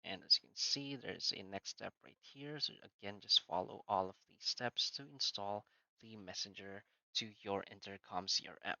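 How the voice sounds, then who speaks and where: Man, tutorial narrator, lowish voice, sharp bright tone, dry dull lo-fi sound, step-by-step with filler words. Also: moderate pace, gravelly, dry recording. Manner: plain step-by-step instructions, frequent "so" and "uh". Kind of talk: screen-recorded walkthrough narration.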